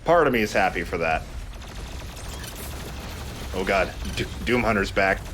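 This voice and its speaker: A man's voice: low voice, animated, unhurried, a bit bright, slight background noise. A man giving casual, conversational video game commentary as a let's play host, explaining as he goes. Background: game music.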